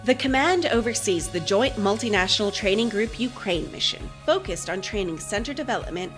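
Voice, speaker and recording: A woman reading a scripted official promotional narration in a formal, measured announcer manner. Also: mid-range voice, lively intonation, a bit bright. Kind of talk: scripted corporate military video narration. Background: music bed.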